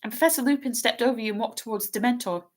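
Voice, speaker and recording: A woman reading aloud and voicing an earnest, anxious schoolgirl who tells things in a rushed, breathless way. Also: a little high, pitched above the performer's own voice, natural voice.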